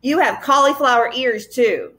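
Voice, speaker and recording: Woman playing jeering swamp monster, high and drawn-out. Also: a bit bright, close to an everyday voice. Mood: spiteful mockery. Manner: taunting, drawn-out, emphatic jeers. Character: jeering swamp monsters, furry, spiteful tormenting mob.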